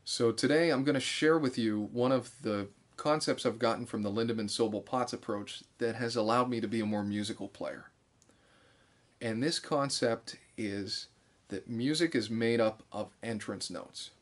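Guy in his 30s teaching a music lesson, average pitch, deliberate explainer style. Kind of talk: instructional talk to camera. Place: small bare room indoors.

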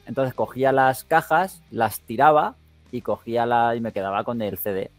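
A man with a medium-pitched voice and an accent from Spain, recorded very dry. He speaks as a podcast co-host in casual chat, with a colloquial, questioning way of talking. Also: steady tone, normal speed, dry recording, faint music behind.

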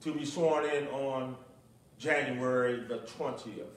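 A middle-aged man preaching and praying as a pastor, speaking in measured, deliberate short phrases into a pulpit microphone in a small church. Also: mid-range voice, steady tone, slight background noise. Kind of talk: sermon with prayer and commentary. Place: small, nearly empty church, at a pulpit indoors. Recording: echoey church, distant microphone.

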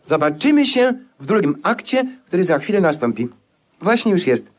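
A man's voice: high-pitched, big pitch swings, moderate pace. An actor playing an eccentric, mannered male suitor in a 1950s radio comedy, with flowery, pompous politeness. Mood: courteous.

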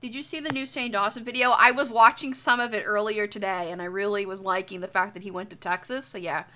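A woman's voice: medium pitch, fairly even intonation, fast talker, bright, forceful tone. A young woman chatting casually on a livestream in a jokey, sweary way. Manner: crude, sweary, jokey banter. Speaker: live streamer. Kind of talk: casual livestream chat while drawing.

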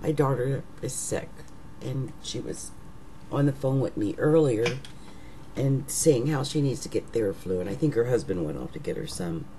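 A middle-aged woman with a rough, raggedy voice, talking casually to her webcam at home as an astrologer, slow and musing, trailing off into whispers. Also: extremely low pitch, lively intonation, dark tone, a little hiss or hum, poor audio. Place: living room at home. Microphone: webcam at desk.

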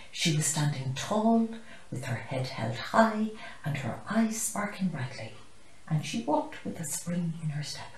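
Older woman, Irish accent, low-pitched, very animated, children's story read-aloud, roomy sound. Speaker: storyteller reading a children's book. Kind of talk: expressive picture-book read-aloud. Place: small living room, indoors. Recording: roomy, echoey living room.

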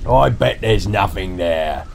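Man playing gravelly, blustering dad tyrannosaur. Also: lowish voice, a little slow, a little mellow, slight background noise. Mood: scornful. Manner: blustering, scoffing. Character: gruff, boastful tyrannosaur dad who loves war.

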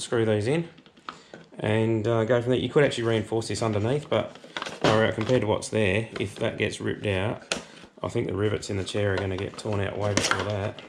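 An Australian man, hoarse from a cold, chatting casually through a workshop how-to while he works on metal. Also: fairly low pitch. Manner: relaxed, chatty, thinks aloud with fillers. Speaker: host. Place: home workshop shed. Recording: noisy workshop with tool clatter.

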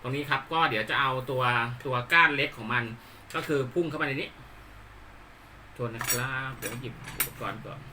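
A man giving an unscripted hands-on tutorial, explaining politely in a halting, repetitive way. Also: mid-range voice, background noise. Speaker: hobbyist presenter demonstrating a DIY build.

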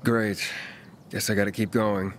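An actor playing a young, dry, matter-of-fact courier in a video game. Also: male voice, lowish voice, flat delivery, measured pace, a little hiss or hum, close to an everyday voice. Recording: game music behind.

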